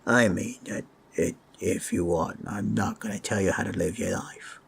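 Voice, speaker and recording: A man voicing a small, awkward, shyly affectionate goblin in a fantasy roleplay, hedging and rambling with a raspy voice. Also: low-pitched, normal speed, slight background noise. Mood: sheepish. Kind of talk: fantasy roleplay audio acting.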